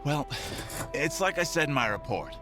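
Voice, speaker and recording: A man's voice: fairly high pitch, big pitch swings, normal speed, music in the background. A man playing a shady, defensive, streetwise police detective in an audio drama, blustering and rattled. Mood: rattled, insistent.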